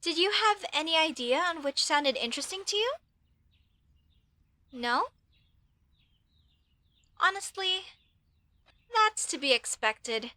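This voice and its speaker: A woman's voice: sits high, dry recording, heavily acted. A woman playing an earnest, proud young female elf mage who speaks expressively and intimately to the listener in an audio roleplay. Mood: chatty, helpful. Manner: expressive, chatty, mock-indignant at times, softening to sincere.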